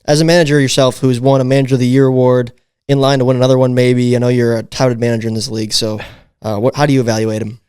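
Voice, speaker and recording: A man's voice: medium pitch, level tone, fast talker, a little mellow, clear, resonant tone, no echo, clean studio sound. A man hosting a casual sports podcast, leading the talk and asking questions. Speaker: podcast host.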